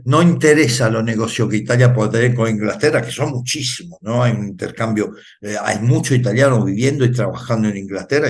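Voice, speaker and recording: An Italian-born man speaks as a guest over a video call, pressing his points with emphasis and repeating key phrases for weight. He pauses on fillers as he builds his argument, in a voice of middle pitch. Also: darkish tone. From Italy. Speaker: interview guest. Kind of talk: political commentary.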